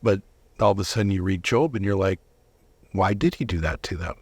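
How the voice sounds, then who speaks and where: A man's voice: low-pitched, brisk, gravelly, slightly rough, no echo. An American man from Minnesota speaking as a studio interview guest, casual and thoughtful, often searching for his words. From Minnesota, United States. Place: recording studio.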